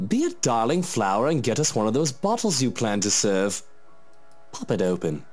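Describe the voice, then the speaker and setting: An actor playing a smug, flirtatious wealthy husband in a visual novel, suave and condescending, with faint music behind. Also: male voice, medium pitch, big pitch swings, normal speed, a little mellow, lightly acted.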